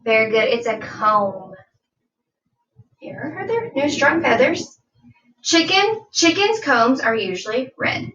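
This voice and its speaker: High, bright woman reading to children, roomy sound. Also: sing-song. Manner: deliberate, instructive, asks listeners questions. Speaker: teacher reading aloud to children. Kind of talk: children's nonfiction read-aloud with teaching asides. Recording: dull, roomy sound, distant from mic.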